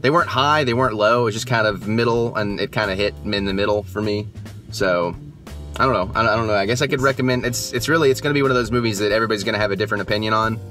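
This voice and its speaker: A man's voice: on the low side, racing, a bit bright, soft background music. A young man giving a casual movie review just after the screening, rambling and hesitant with many fillers and restarts. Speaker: movie reviewer, channel host. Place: just back from the theater, at home.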